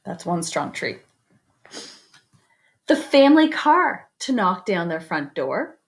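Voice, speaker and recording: A woman reading a children's picture book aloud expressively, with chatty asides to her young listeners. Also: on the low side. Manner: expressive, pauses to comment and invite predictions. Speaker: read-aloud storyteller.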